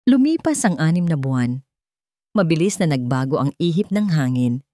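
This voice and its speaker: Filipino woman narrating drama, low and close. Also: sing-song, normal speed, mellow, dry recording. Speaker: audiobook narrator. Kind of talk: dramatic first-person scripted narration.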